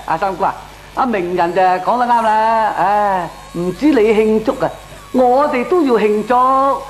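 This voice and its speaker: A man's voice: high voice, unhurried, a little hiss or hum, rough recording. An actor playing a fussy, jovial older male tenant with a Hong Kong Cantonese accent in a comic radio drama. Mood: complaining. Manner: fussy, chuckling, repeats himself.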